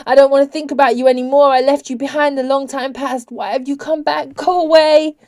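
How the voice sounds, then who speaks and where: A woman voicing a male vampire, a dark angel and cruel master given to coaxing and commanding in a storybook. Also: sits high, measured pace, voice pushed up. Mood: frantic, frightened. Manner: sweetly coaxing turning to commanding shouts.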